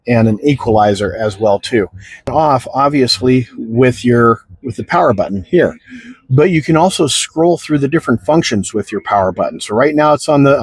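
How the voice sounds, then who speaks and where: A man's voice: medium pitch, breathy edge. A middle-aged American man giving a casual, unscripted product demonstration in a folksy, rambling way. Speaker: product reviewer.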